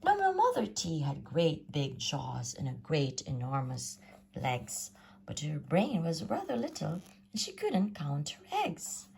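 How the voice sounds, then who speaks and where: A woman reading a rhyming children's story aloud in a theatrical, sing-song, expressive way. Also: low voice, a little slow, creaky, slight background noise. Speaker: storybook reader.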